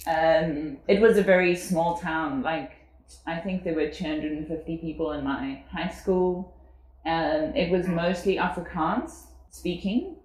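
A South African woman in her forties, a guest telling her story in a relaxed interview, thoughtful and full of fillers. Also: low-pitched, unhurried, echoey room, poor audio. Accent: South African English. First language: English. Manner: reflective, with frequent fillers and laughs. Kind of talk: casual conversational interview.

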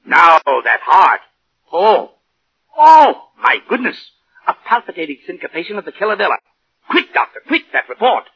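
An actor playing a sly fox swindler in an old radio drama, theatrical and full of gushing false sympathy. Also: male voice, fairly high pitch, breathy edge, heavily acted. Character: sly flamboyant male fox swindler, mock-doctor flattery. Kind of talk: 1930s-1940s radio drama acting. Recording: muffled vintage radio broadcast.

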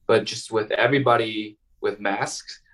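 Hesitant young man, somewhat low. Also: steady tone, a little slow, fairly bright tone, recorded from a distance. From Taiwan. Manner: hesitant, many ums and restarts. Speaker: violinist guest. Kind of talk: casual interview answers.

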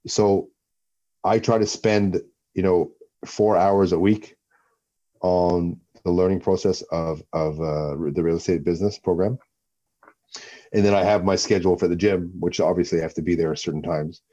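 A man guest in an interview, talking in a relaxed way, often repeating words as he gathers his thoughts. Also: low-pitched, normal speed, highs cut off. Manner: rambling, repeats himself while thinking. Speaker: guest, actor and former fighter.

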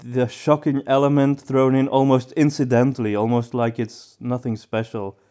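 A man's voice: mid-range voice, flat delivery, normal speed, mellow, smooth. A man co-hosting a podcast, casually discussing film in a reflective, musing way, sometimes repeating words as he thinks. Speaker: podcast co-host.

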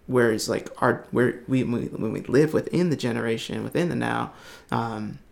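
A man's voice: mid-range voice, normal speed, creaky. A man in his thirties chatting casually as a podcast co-host, reflective and rambling, with many fillers. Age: 30s. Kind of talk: casual conversation.

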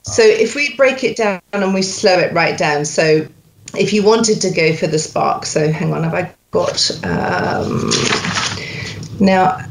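A woman's voice: fairly low pitch, animated, slow, vocal fry, low-quality recording. A woman working as a dialect coach, explaining her method step by step in a cheerful tone over a video call. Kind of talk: coaching session.

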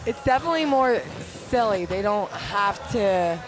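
A woman co-hosting a casual show, chatty and teasing, excitable in her remarks. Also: mid-range voice, moderate pace, over music, crowd noise. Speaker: co-host. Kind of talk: casual chat.

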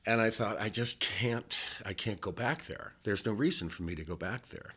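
This is a man in his sixties, reminiscing as a guest in an unhurried interview. His voice sits somewhat low, and he rambles, wandering off the subject and then circling back. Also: fairly bright tone, slightly rough. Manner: rambling, digressive storyteller.

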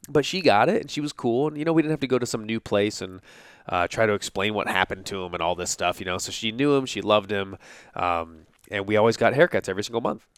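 A man in his thirties with a stuffy nose speaks in a low, smooth, resonant voice. He tells a personal story slowly, halting and restarting his sentences. The sound is dry and close to the mic. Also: no echo. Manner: halting, many restarts and fillers. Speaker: podcast host.

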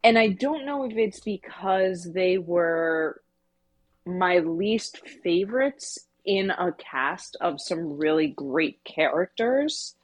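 A woman's voice: lowish voice, lively intonation, takes it slowly. A woman co-hosting a podcast, discussing stories in an informal, reflective way. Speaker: podcast co-host. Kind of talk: casual book discussion.

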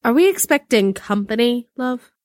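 A woman's voice: on the high side, expressive, normal speed, no room sound, clean studio sound, pitched above the performer's own voice, lightly acted. A woman voicing a playful, loving young goddess in a story, sweet, coy and teasing.

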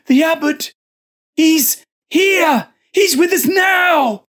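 A reader voicing a scrawny, fanatical male monk in a storybook horror tale, with a high, strained and frantic delivery that rises to shrieks. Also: very animated, takes it slowly, slightly rough, exaggerated voice. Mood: shrieking.

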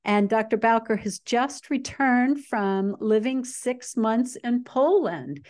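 A woman's voice: a little high, animated, slow, darkish tone. A woman hosting an interview podcast, upbeat and chatty as she introduces her guest and asks questions. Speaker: podcast host.

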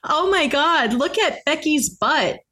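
A woman's voice: high voice, lively intonation, slow pace, pushed and bright. A woman co-hosting a podcast in casual comedic banter, giggly and often exclaiming. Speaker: podcast co-host.

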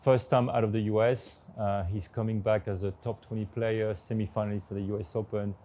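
A man's voice: on the low side, level tone, fairly quick, mellow. A man who runs a tennis tournament, answering interview questions hesitantly with lots of fillers. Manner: hesitant, many fillers and restarts.

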